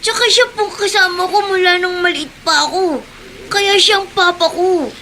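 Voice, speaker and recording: High-voiced, trusting little girl. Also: steady tone, moderate pace, pushed and bright, a little hiss or hum. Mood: innocent, wistful. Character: trusting, loving little girl attached to her papa. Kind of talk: radio drama acting.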